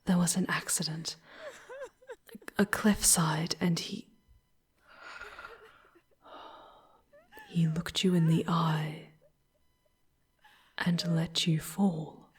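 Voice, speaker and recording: A woman voicing a charming, tender, sorrowful female demon guide in a breathy, whispered ASMR roleplay, with halting, dramatic phrasing and long pauses. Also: deep voice, steady tone. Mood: grave, sorrowful.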